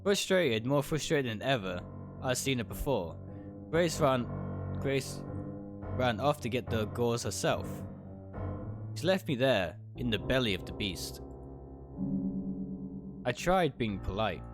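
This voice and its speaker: A man reads a visual novel aloud for a livestream with lively, swinging intonation. He narrates dramatically in the first person, sometimes tripping on a word and fixing it. Soft guitar music plays behind him. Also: medium pitch, slow, long pauses. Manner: stumbles over words and corrects himself.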